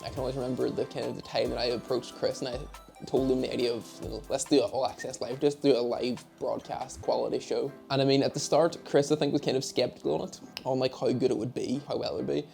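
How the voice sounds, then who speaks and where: A man's voice: mid-range voice, fairly even intonation, fast, vocal fry, darkish tone, faint music behind. A nineteen-year-old man with a Northern Irish accent, chatting casually to camera in a Q and A about his media job, with rambling, self-mocking asides. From Derry, Northern Ireland. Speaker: esports head of media. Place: home office setup.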